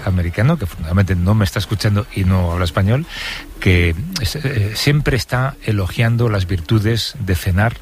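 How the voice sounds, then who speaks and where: A man's voice: lowish voice, moderate pace. A man co-hosting a casual chat, hesitant and repeating his words. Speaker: co-host.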